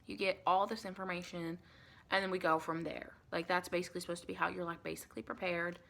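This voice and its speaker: A soft-spoken woman playing a chatty, caring female vampire intake interviewer in an improvised ASMR roleplay, rambling and reassuring with pet names. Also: lowish voice, little pitch movement, fast, vocal fry. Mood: explanatory, reassuring. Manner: rambling, reassuring, endearments like honey and sweetie.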